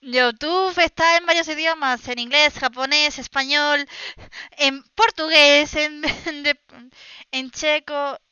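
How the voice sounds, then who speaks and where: A woman from Spain hosting a reaction stream in Spanish, reacting with excited, exclamatory interjections. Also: high-pitched, measured pace, no room sound. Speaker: VTuber host reacting to clips. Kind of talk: live reaction commentary. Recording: dull, slightly lo-fi dry mic.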